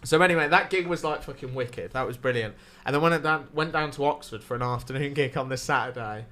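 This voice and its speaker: A young man from Wales with a southern English accent, telling sweary, animated stand-up stories on a casual podcast. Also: medium pitch, quick pace. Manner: sweary, animated anecdotes with acted-out quotes. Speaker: stand-up comedian guest.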